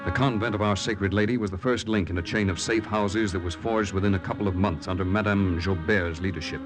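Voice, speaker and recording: An earnest wartime secret agent, acted by a man tells his story in 1940s radio style. His voice is deep and his delivery deliberate and dramatic, with clear music in the recording. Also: fairly even intonation, lightly acted. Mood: proud, steady. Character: earnest young male American secret agent recounting his mission.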